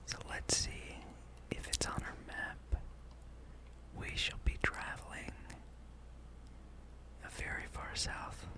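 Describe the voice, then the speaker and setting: This is a gaming host speaking entirely in a whisper for ASMR. The delivery is a rambling, think-aloud commentary on gameplay, kept hushed throughout. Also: slow, pauses often.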